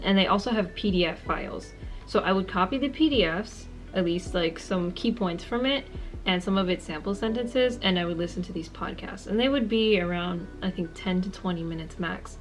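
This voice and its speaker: Earnest young woman vlogging, soft music behind. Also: lowish voice. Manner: friendly, earnest, repeats words for emphasis. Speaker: language-learning vlogger. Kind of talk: casual talk to camera, giving tips.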